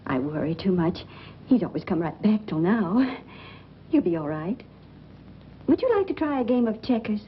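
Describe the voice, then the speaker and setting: A woman's voice: medium pitch, big pitch swings, normal speed, long pauses, a little hiss or hum, lightly acted. An actress playing a fretful, strict and easily offended mother in 1940s film style, on a muffled old soundtrack. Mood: worried. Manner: indignant, fussy.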